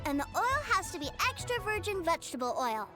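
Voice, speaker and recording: A woman's voice: very high voice, sing-song, fairly quick. A woman voicing an innocent, frank, fussy little rich boy in a video game, with a childlike voice. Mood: fussy, doubtful. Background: game music.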